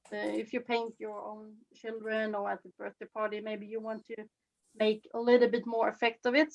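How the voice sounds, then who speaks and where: A woman from Sweden with a Swedish accent, giving an informal demonstration as a face painting instructor, with halting phrasing. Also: fairly high pitch. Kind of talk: informal live demonstration with commentary. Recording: slightly dull, lo-fi webinar audio.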